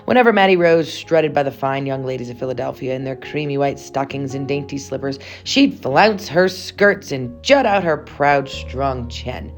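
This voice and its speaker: A woman with a low voice reads a children's picture book aloud. Her delivery is expressive and storylike, with carefully shaped, dramatic phrases. Music plays behind her. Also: normal speed, few pauses.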